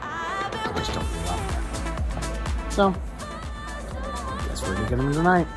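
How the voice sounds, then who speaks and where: A North American man in his thirties talks casually to a camera held at arm's length. His pitch moves around in a lively way, and music often plays prominently behind him. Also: takes it slowly. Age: 30s. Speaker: family travel vlogger. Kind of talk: casual vlog chat to camera. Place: cafe-like hostel bar and outdoor beer garden.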